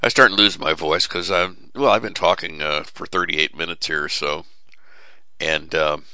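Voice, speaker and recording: A man's voice: deep voice, steady tone, normal speed, bright, forceful tone, clear, resonant tone, no room sound. A middle-aged man hosting a radio show, giving a folksy, preachy, rambling monologue full of fillers and restarts, his voice starting to give way. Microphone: studio mic with cough button.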